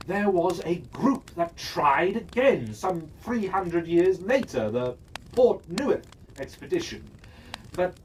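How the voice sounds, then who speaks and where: A man telling a fantasy story in character, chatty and musing, often asking himself questions aloud. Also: high-pitched. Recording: distant mic with faint background noise.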